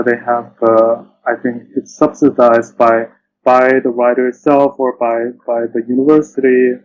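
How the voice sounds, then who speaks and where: Halting man on a dull, noisy recording. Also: medium pitch. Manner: halting, repeats phrases. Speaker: podcast guest, literary translator. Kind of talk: conversational interview answers.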